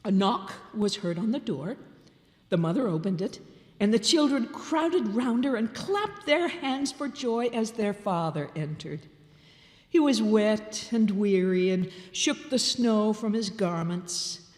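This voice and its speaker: An older woman giving a theatrical live reading of a classic tale on a theater stage. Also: medium pitch. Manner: expressive, theatrical narration. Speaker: storyteller. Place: large theater hall on stage. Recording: echoey hall with long reverb.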